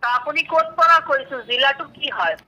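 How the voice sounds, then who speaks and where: A woman's voice: sits high, lively intonation, fairly quick. A woman with an Assamese accent doing phone sales, explaining repetitively and often checking that she is understood. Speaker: telephone medicine seller. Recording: sharp noisy telephone line.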